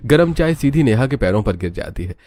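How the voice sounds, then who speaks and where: A man's voice: mid-range voice, big pitch swings, a little fast, a little mellow. A man narrating a scripted family drama story in a dramatic storytelling style.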